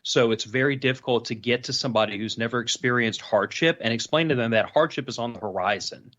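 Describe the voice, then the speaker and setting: A man with a mid-pitched voice talks on a casual panel. He explains things earnestly, repeating phrases for emphasis. The recording is a little dull and lo-fi. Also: quick pace, a bit bright. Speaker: panel participant. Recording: slightly dull, lo-fi sound.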